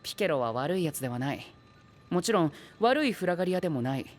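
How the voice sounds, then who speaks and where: A man playing a dignified, courteous princely youth in an audio drama, speaking formally with stiff gentlemanly phrasing. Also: on the high side, sing-song, fast talker. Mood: courteous, assured. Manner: stiff gentlemanly speech sprinkled with English words. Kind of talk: anime-style audio drama acting.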